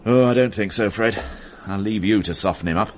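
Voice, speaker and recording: An actor playing a weary, dry-witted police inspector in a radio drama, clipped and mildly sardonic. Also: male voice, on the low side, fairly quick, slight background noise, close to an everyday voice.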